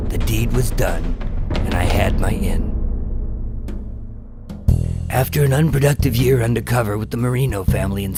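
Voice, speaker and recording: A man's voice: lowish voice, lightly acted. A man playing a weary undercover FBI agent and narrator in a scripted radio drama. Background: prominent dramatic music.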